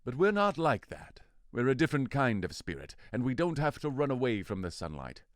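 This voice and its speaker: A man's voice: mid-range voice, big pitch swings, a little fast, dry recording, studio quality, lightly acted. A man playing a commanding fairy king in an audio drama, speaking with theatrical authority and deliberation. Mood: proud, brisk. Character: commanding fairy king, a lordly forest spirit. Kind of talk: audio drama acting of modernized Shakespeare.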